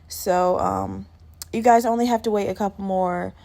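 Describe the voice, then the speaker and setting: Woman podcaster just woke up, croaky fry in her voice, rambling casual chat, swears a bit. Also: medium pitch, fairly even intonation, moderate pace, mellow. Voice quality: slightly gravelly morning voice. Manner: rambling, candid, self-deprecating, swears casually. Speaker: podcast host.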